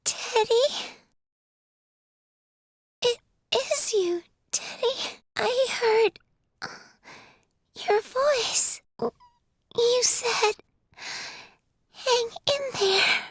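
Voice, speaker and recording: A woman voicing a frail, sweet little girl in a video game, faint and halting. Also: very high voice, a bit bright, no room sound, studio quality, heavily acted. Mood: weak, tender.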